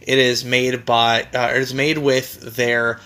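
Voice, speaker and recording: A man with a mid-range voice talks in a dry, matter-of-fact way, calmly laying out details in a casual chat. Faint music runs in the background. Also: medium pitch, takes it slowly, clear, resonant tone. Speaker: co-host of a bourbon show.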